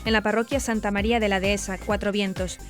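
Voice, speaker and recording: A woman's voice: medium pitch, little pitch movement. A woman news presenter, reading a script formally and evenly. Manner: formal, measured newsreading. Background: clearly audible background music.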